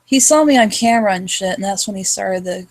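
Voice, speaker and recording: A woman's voice: mid-range voice, brisk. A young woman in casual chat, slangy and swearing easily. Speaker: chat participant.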